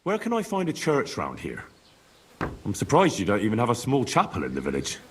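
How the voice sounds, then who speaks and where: A man's voice: mid-range voice, wildly swooping pitch, a little fast, husky. An actor playing a polite, plain-spoken young stranger asking his way in a video game. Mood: polite curiosity. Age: young adult. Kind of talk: video game character dialogue.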